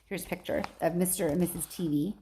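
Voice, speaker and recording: A woman's voice: fairly low pitch, fairly even intonation, fast talker, mellow. A woman reading a children's book aloud like a teacher, expressively, with casual chatty asides.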